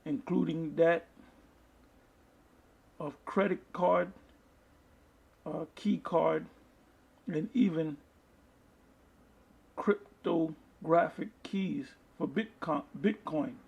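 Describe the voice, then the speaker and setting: Male lay preacher, slightly high but husky voice, stop-start reading with asides. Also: darkish tone, lo-fi sound. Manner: halting, repeats and restarts phrases. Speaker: preacher reading articles and scripture to an online audience. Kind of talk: informal video sermon, reading aloud with commentary.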